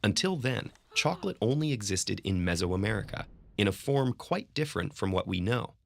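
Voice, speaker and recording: A man giving scripted narration for an educational video in a smooth, measured storytelling way. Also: lowish voice, expressive. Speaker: documentary narrator.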